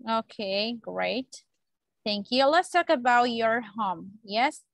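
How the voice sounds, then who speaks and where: A woman's voice: a little high, animated, takes it slowly, vocal fry, a bit bright, no echo. A woman interviewer asking short structured questions and acknowledging each answer briefly. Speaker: interviewer, teacher.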